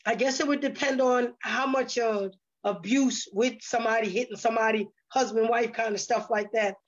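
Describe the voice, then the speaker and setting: A woman with a fairly high voice talks casually. She feels her way through her thoughts out loud, with some hesitation. The recording is dull and lo-fi. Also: steady tone, moderate pace. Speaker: stage play cast member being interviewed. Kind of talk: casual conversational talk. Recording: dull, lo-fi sound.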